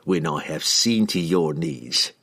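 A man doing a cold, slow-spoken assassin. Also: deep voice, voice pushed down, heavily acted. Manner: slow, even, menacing. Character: cold, ruthless male assassin.

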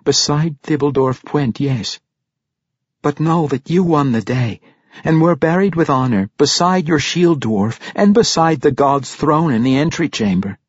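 A man voicing a gentle, serene young woman, speaking calmly and softly. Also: on the high side, measured pace, husky, no echo, lo-fi sound, voice pushed up.

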